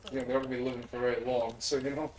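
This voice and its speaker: A man's voice: medium pitch, flat delivery, very fast, recorded from a distance. A man joining a friend's game stream, rambling through darkly funny stories with lots of "like" and filler. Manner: rambling storyteller, dark humor, lots of "like". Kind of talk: casual chat during gameplay. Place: shared room at a home gaming gathering. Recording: roomy, off-mic voice.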